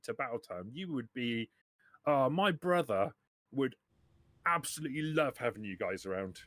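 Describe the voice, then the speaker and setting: A man playing an excitable, defensive businessman trader in an improvised tabletop roleplaying game. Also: mid-range voice, moderate pace, no echo, natural voice. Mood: friendly, hesitant.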